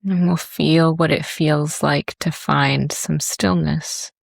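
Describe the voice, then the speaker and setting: A woman leads a guided body scan with a low voice that has a creaky edge. She speaks gently and leaves long pauses between phrases. The sound is close and dry. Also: fairly even intonation, no room sound. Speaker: yoga and meditation teacher.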